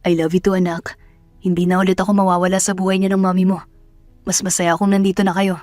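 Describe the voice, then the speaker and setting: A woman's voice: fairly low pitch, level tone, fast talker, a little mellow, no echo, faint music behind, natural voice. A woman voicing an earnest, guarded young man, a lover and father in a romance audio drama. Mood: tender.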